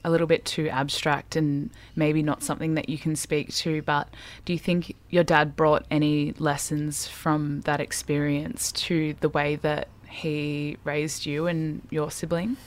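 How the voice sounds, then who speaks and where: A woman's voice: low voice, flat delivery, measured pace, few pauses. A woman hosting a radio interview, asking curious questions and leading into a song. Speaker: radio show host.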